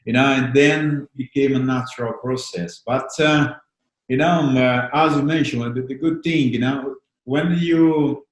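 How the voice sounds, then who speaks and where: A Brazilian-accented man in his forties whose first language is Portuguese hosts a casual podcast chat, hesitant and full of fillers. His voice is mid-pitched and carries a roomy echo. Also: steady tone, unhurried. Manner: hesitant, many fillers, laughs softly. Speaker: podcast host.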